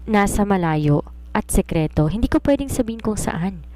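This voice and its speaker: A woman narrator acts out a young woman writer living a secret double life as a maid. She talks in a casual, brisk and evasive way, breezy and guarded, as in an audio romance novel. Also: medium pitch, animated, mellow, lightly acted. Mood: evasive, hurried.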